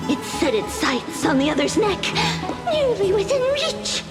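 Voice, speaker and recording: A woman's voice: extremely high pitch, full character voice. An actress playing a tiny, playful, girlish fairy who narrates a video game tale in a grandly theatrical way. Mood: suspenseful. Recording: long reverb, loud music behind.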